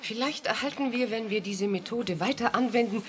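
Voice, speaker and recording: A woman's voice: a little high, moderate pace, natural voice. An actress playing an ambitious, coolly dismissive woman scientist in a German radio play, assured and pointed. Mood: cool confidence.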